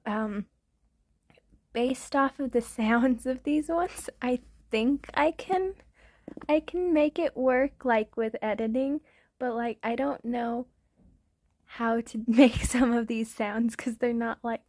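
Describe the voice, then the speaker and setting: A young woman, an ASMR creator, chatting casually in a soft, half-whispered, giggly way. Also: fairly high pitch, takes it slowly, dry recording, studio quality. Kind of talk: casual chat intro to an ASMR video.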